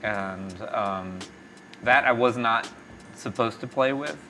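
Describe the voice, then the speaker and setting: A man's voice: medium pitch, slow pace, bright, forceful tone, slight background noise. A middle-aged man from East Texas telling stories reflectively in a conversational interview. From East Texas, born in Mexico. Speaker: tech company founder, interview guest.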